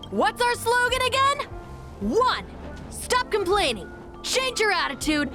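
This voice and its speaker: A woman's voice: extremely high pitch, exaggerated voice. A woman voicing a tiny, zealous cheese tart creature that preaches slogans in a video game. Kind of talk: video game character acting.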